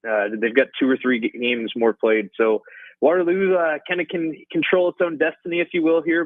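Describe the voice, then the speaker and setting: A man's voice: medium pitch, dry recording. A man speaking as a guest hockey reporter in a casual sports radio interview over a phone line, with hesitant phrasing and repeated verbal tags. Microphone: phone call.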